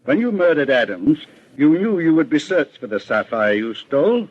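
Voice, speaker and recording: A stern, shrewd detective from a vintage radio mystery. His voice is husky and he speaks with deliberate authority, never flustered. The sound is dull and lo-fi, like an old broadcast. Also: on the high side, sing-song. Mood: accusing. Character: calm, shrewd, stern male detective boss. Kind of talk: 1940s radio crime drama acting.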